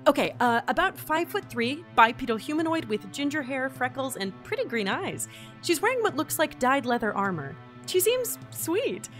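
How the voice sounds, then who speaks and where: A woman playing an excitable, irreverent field agent logging a mission in an audio drama, informal and easily flustered. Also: high voice, moderate pace, faint music behind. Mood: curious. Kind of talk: scripted audio drama acting.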